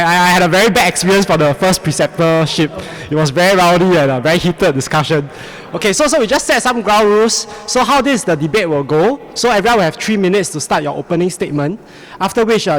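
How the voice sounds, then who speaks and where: A man moderating a medical debate, setting ground rules in a brisk, instructive way with repeated words. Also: high voice, big-room sound.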